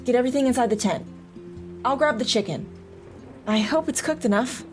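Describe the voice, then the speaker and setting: A woman's voice: on the high side, a little fast, darkish tone, faint music behind, close to an everyday voice. A woman playing a flustered, self-doubting mother who narrates and talks in an audio drama.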